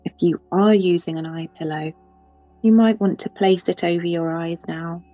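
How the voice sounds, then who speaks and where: A woman leading a guided yoga nidra relaxation, speaking softly and unhurriedly with long pauses. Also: fairly low pitch, fairly even intonation. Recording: slightly dull, with soft music behind.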